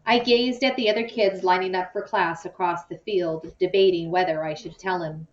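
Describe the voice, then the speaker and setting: A woman reading a children's novel aloud in an expressive, storytelling way, acting out the dialogue. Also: lowish voice, normal speed. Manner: animated, acts out dialogue. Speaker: audiobook-style reader. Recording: distant, muffled microphone.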